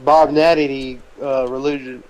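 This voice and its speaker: A man's voice: mid-range voice, little pitch movement, measured pace, darkish tone, muffled recording. A man in a casual gaming chat, telling joking lore in a rambling storyteller's way. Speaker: let's play gamer.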